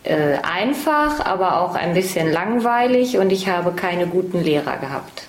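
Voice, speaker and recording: A woman in her late thirties from Germany, a French teacher, talking about herself in a relaxed way with hesitant fillers. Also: on the low side. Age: 30s. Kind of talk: personal monologue for a listening exercise. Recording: roomy echo, faint background noise.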